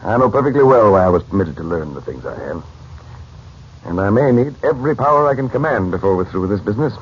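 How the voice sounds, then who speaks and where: A man acts a calm uncle who keeps mystic secrets in a 1940s radio drama. He is measured and guarded, with a slightly low, very expressive voice. The old recording is dull and a bit noisy. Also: lightly acted. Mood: calm, serious. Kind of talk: 1940s radio serial acting.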